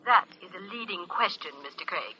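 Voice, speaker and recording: An actress playing an icy, haughty, sardonic society wife in an old-time radio drama, speaking with cool disdain. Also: female voice, mid-range voice, animated, a little fast, slightly rough. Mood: icy, mocking. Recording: tinny old radio telephone sound.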